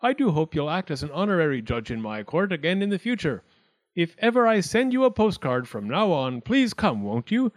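A pompous storybook wildcat judge, acted out by a man, pitched high and swooping widely. He is nervous underneath but blusters formally to sound important. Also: sing-song, fairly quick, no room sound. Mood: courteous, pompous.

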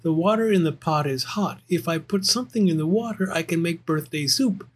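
Man voicing an eager high-pitched little bear cub. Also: a little fast, husky. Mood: worried, hopeful. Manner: childlike, earnest exclamations. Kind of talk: children's storybook character voice.

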